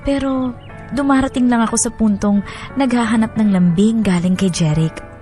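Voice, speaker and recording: A woman's voice: fairly high pitch, normal speed, dark tone, lightly acted. A woman acting as a weary young new mother, narrating her story as a dramatized radio letter in a confiding way. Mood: sad, confiding. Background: music.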